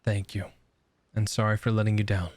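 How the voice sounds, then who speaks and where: Low-voiced man playing through a game. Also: level tone, fairly quick, darkish tone. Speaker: gaming video host. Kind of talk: casual playthrough commentary, reading game text aloud. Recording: game music behind the voice.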